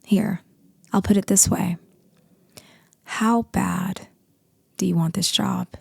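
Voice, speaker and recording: Woman acting a gravelly, husky, dominant female boss. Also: steady tone, a little mellow, no room sound, clean studio sound, heavily acted. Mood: commanding, teasing. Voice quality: deep, husky, breathy voice. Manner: slow, deliberate, often dropping into whispers. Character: dominant, seductive female boss, intimidating and teasing. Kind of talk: ASMR roleplay, slow intimate acting.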